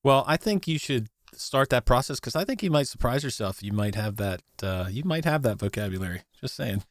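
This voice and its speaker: A man's voice: medium pitch, lively intonation, brisk, dry recording, studio quality. A man hosting a casual interview, asking questions in an informal, encouraging way. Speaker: host, interviewer.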